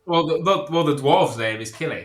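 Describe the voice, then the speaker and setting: A man's voice: on the high side, animated, fairly quick, reverberant, a crowd in the background. A man chatting casually on a podcast in a blunt, sweary way.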